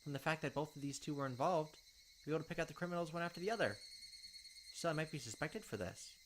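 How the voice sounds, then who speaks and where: A man's voice: fairly high pitch, background noise. Man dramatically reading a visual novel. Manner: dramatic reading with quick asides. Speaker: let's play narrator. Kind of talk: reading visual novel text aloud with commentary.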